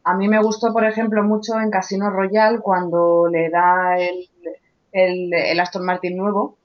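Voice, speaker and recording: Woman, chatty debate guest, medium pitch, dull lo-fi sound. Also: flat delivery, unhurried. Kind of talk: casual discussion. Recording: muffled lo-fi audio.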